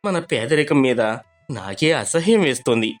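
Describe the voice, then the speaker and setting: A man voicing a poor, humble village laborer in an animated story, earnest and expressive. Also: medium pitch, moderate pace, faint music behind, natural voice. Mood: downcast. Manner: expressive, pleading. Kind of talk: cartoon storybook voice acting.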